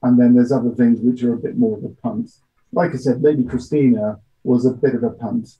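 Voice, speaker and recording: A middle-aged man giving measured, matter-of-fact interview answers with hesitations over a video call from a small office. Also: medium pitch, expressive. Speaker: audio drama producer being interviewed. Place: small home office, indoors. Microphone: computer mic on video call. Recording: dull, lo-fi video-call audio.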